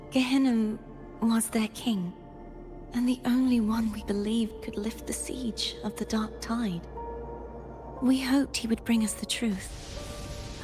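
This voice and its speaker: Serious young fighter girl in a video game, kinda high, breathy n measured, clear music behind her. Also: a little mellow. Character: solemn, resolute young female warrior.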